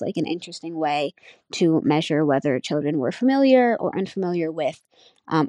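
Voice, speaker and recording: Low-voiced thoughtful young woman student. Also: darkish tone, no room sound. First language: Nepali. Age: young adult. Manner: thoughtful, searching, full of "um" and "like". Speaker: college student. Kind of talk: casual spoken class reflection.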